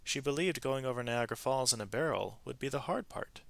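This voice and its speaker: A man narrating a children's story aloud in an expressive, animated way, with varied emphasis from phrase to phrase. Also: mid-range voice, brisk, gravelly, dry recording.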